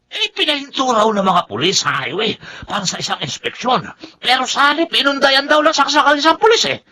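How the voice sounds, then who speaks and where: An agitated Filipino family friend in a radio melodrama. His voice is high, husky and very animated, pushing out grave news with urgency. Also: fairly quick, heavily acted. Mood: urgent. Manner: urgent, excitable.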